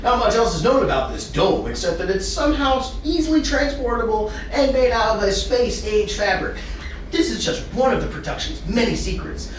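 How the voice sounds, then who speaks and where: A man's voice: very high voice, animated, normal speed, voice pushed up. A man reading the part of a hyped TV news reporter narrating a rock opera in a broadcast style. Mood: upbeat, hyping. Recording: very echoey room, distant mic, hiss.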